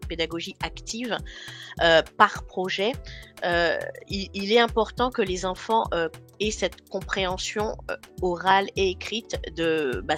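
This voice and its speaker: A woman from Guadeloupe, a guest speaking remotely in a podcast interview, earnest and pausing often on fillers. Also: mid-range voice, fairly bright tone. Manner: earnest, with frequent hesitation fillers. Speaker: guest, founder of an online training company. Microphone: remote call.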